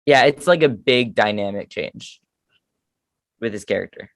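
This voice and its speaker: A man co-hosting a casual fan chat, easygoing and self-deprecating, with a high-pitched raspy voice. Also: unhurried, fairly bright tone. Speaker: co-host.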